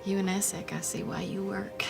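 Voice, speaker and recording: A woman acts out an earnest young sorceress in a tabletop game, troubled and soft-hearted. The pitch is medium and the phrases are searching and often trail away. Music is clearly present behind the voice. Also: level tone, normal speed, lightly acted. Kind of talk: in-character tabletop roleplay.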